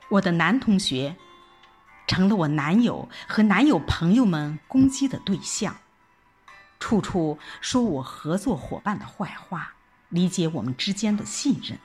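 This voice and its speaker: A woman reading a scripted first-person audio drama narration in an expressive, reflective storytelling manner. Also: on the low side, measured pace. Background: background music.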